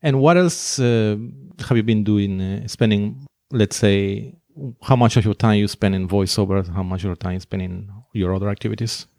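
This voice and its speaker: Male interviewer, low pitch, dry close sound, hesitant and filler-heavy. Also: moderate pace, vocal fry, hardly any pauses, dry recording. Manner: hesitant, many fillers, soft backchannels. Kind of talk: conversational interview.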